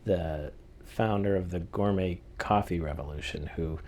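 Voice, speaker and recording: Deep-voiced male interviewer. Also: unhurried, a little mellow. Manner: conversational, anecdotal questions. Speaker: interviewer, host. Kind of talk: interview conversation.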